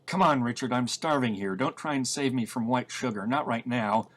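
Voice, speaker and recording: Audiobook role: male reader as hard-edged fugitive woman, sharp, sarcastic, short on patience. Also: mid-range voice, normal speed, slight background noise, natural voice. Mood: hungry, irritated. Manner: blunt, sarcastic. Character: sharp, exasperated, tough-talking woman on the run.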